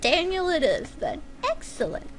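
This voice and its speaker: Woman playing a high, chirpy, taunting pony assassin. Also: sing-song, moderate pace, a little hiss or hum, poor audio. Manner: sarcastic, flippant, chirpy. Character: gleeful taunting female pony assassin, cheeky and breezy.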